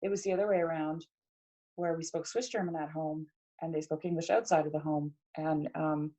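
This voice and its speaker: A woman's voice: sits low, steady tone, fairly quick, mellow, poor audio. A middle-aged woman from Canada in casual conversation, talking reflectively with many fillers and restarts. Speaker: podcast guest.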